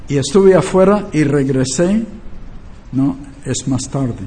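A man's voice: on the high side, lively intonation, takes it slowly, warm tone, faint music behind. An older man in Spanish, preaching and teaching emphatically, repeating key phrases and asking rhetorical questions. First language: not a native Spanish speaker.

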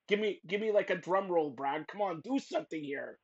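A man hosting a wrestling podcast, talking loudly and teasing his guests, rambling with lots of fillers. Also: high-pitched, moderate pace, vocal fry, husky, lo-fi sound. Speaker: podcast host. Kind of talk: loud joking wrestling podcast chat.

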